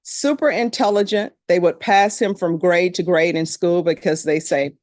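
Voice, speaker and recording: A woman's voice: on the low side, normal speed, darkish tone, dry recording. A middle-aged woman from Louisiana with a Louisiana accent, a judge speaking to a jury panel in a courtroom in a plain, conversational storytelling manner.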